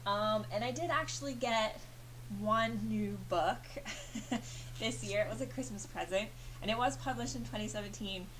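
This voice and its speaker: A woman's voice: mid-range voice, normal speed, fairly bright tone, a little hiss or hum, poor audio. A woman chatting casually to camera as a vlogger, with lots of fillers and little laughs.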